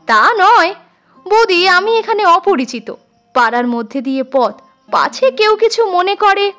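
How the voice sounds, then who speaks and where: A woman's voice: very high-pitched, big pitch swings, unhurried, fairly bright tone, voice pushed up, heavily acted. Woman reading as earnest, bashful young man, music behind. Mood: bashful protest. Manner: polite, eager, slightly shy. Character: earnest, bashful young male schoolteacher, affectionate and polite. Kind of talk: dramatised storybook reading. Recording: music under the voice.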